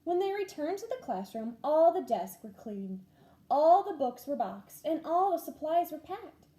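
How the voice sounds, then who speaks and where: Lady teacher reading a kids storybook out loud, mellow tone, really up and down and expressive. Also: sits high, unhurried. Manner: animated, clearly paced, acting out dialogue. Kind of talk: expressive children's storybook read-aloud.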